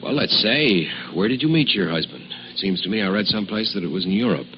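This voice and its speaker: An actor playing a stern, pressing deputy district attorney in a radio drama, speaking formally and forcefully with deliberate phrasing. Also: male voice, fairly low pitch, expressive, soft background music, natural voice. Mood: probing. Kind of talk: 1950s radio drama acting. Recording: muffled, noisy old radio recording.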